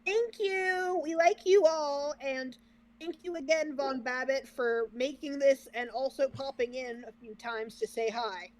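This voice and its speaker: A woman's voice: high-pitched, measured pace, darkish tone. A woman co-hosting a reaction livestream, chatting casually with the viewers. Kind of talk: casual chat with stream viewers.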